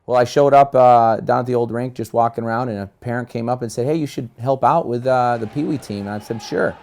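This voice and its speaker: A middle-aged man, a high school hockey coach, talking casually in a plain-spoken, informal way. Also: fairly quick, a little mellow. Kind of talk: casual interview talk and rink coaching.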